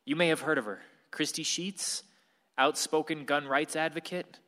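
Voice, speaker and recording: A man's voice: fairly high pitch, fairly even intonation, moderate pace, sharp tone. An actor in a radio play playing a blunt male news reporter delivering grim bulletins. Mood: grave. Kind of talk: radio play narration as newscast. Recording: long hall reverb.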